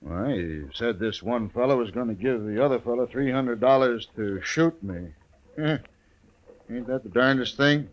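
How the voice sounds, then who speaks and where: An actor as a bluff, drawling frontier sheriff. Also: male voice, mid-range voice, measured pace, natural voice. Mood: amused, skeptical. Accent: rural American. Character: hearty, skeptical visiting Old West sheriff. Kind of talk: 1950s radio western acting. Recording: old radio recording with noise.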